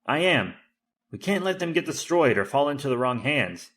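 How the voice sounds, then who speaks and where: A man's voice: a little high, very animated, normal speed, a bit bright, voice pushed up. A man playing an earnest, bold young hero in a tokusatsu audio drama, with a declamatory heroic manner. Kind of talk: audio drama superhero acting.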